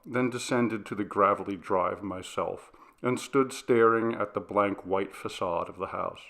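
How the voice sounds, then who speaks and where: A man's voice: creaky, fairly bright tone. A man reading a ghost story in the first person as scripted, dramatic narration. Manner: dramatic, acts out dialogue with shifts of pitch and volume. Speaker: story reader.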